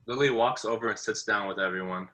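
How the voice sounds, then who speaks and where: A man's voice: fairly low pitch, fast, bright, forceful tone. A man narrating stage directions in an amateur script read over a video call.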